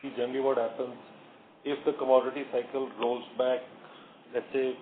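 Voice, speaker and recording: A man's voice: medium pitch, level tone, a little fast. Man, Indian accent, company executive, hesitant explanatory answers, thin phone sound, faint noise. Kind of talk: earnings call answers, unscripted. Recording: roomy, noisy, narrow phone line.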